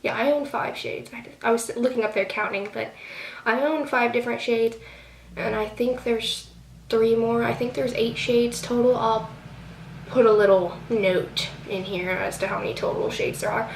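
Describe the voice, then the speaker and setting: A woman's voice: fairly high pitch, steady tone, normal speed, vocal fry, recorded from a distance. A young woman giving a casual, rambling product review with lots of fillers, sounding a bit nasal from a cold. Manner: chatty, rambling with many fillers. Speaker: beauty vlogger. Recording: echoey room, off-mic, faint hiss.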